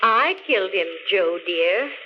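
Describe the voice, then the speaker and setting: A woman's voice: mid-range voice, animated, unhurried. A poised murderess speaks from an old phonograph record with mocking sweetness. She is matter-of-fact and cold in a 1940s radio play manner. The sound is dull, with faint noise. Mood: sweetly cold. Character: coolly confessing murderess, sweetly mocking, composed. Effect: voice played back from a phonograph record.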